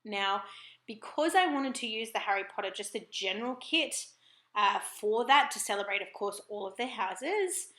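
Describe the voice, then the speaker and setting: An Australian woman with a croaky, husky throat, chatting casually and apologetically in a voiceover for her planner vlog at home. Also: fairly high pitch, sharp tone, roomy sound. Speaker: planner vlogger.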